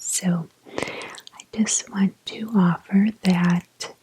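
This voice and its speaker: Very slow, breathy whispering woman. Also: fairly low pitch, low-quality recording. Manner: slow, gentle, deliberate pauses. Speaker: ASMR guided meditation host. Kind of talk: soft-spoken ASMR meditation guide.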